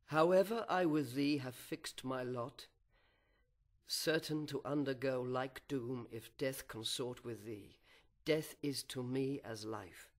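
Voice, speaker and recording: A man playing a grave, resigned, devoted husband in a verse epic, speaking in a solemn, measured way. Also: medium pitch, natural voice. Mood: resigned devotion.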